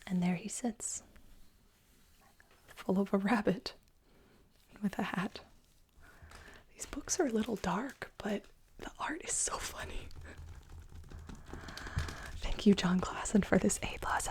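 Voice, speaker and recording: A woman's voice: medium pitch, takes it slowly, long pauses. A young woman whispering children's books aloud as ASMR, with amused asides. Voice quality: soft whisper. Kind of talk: ASMR storybook reading.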